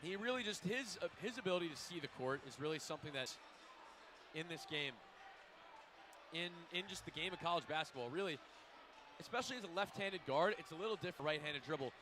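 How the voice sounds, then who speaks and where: A young man cohosting a student radio basketball pregame courtside, emphatic and loud, running through stats. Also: a little high, expressive, fast talker. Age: young adult. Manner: emphatic, loud, stat-heavy. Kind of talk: live basketball pregame analysis. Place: courtside in a packed arena. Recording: faint arena noise behind.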